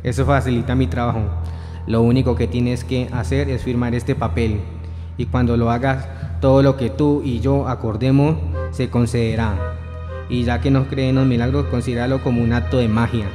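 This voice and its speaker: A young man playing a sly devil disguised as a vagabond in a radio drama, measured, solemn and persuasive. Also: mid-range voice, flat delivery, warm tone, close to an everyday voice. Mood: tempting, solemn. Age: young adult. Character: sly devil in guise of vagabond, tempting, grandiose. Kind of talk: student radio drama reading. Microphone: shared handheld microphone. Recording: echoey hall-like room, music behind.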